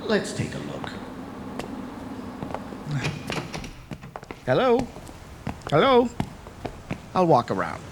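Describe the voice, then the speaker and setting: An actor playing a practical, reassuring junior lighthouse keeper in a radio drama, expressive and plain-spoken. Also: male voice, high-pitched, unhurried, heavily acted. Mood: startled. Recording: echoey, noisy cassette of radio drama.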